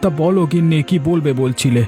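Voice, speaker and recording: Actor as cartoon village husband, fairly high male voice, mild, agreeable, faint music. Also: a little fast, dark tone, natural voice. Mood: mild, hungry. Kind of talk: Bengali cartoon voice acting.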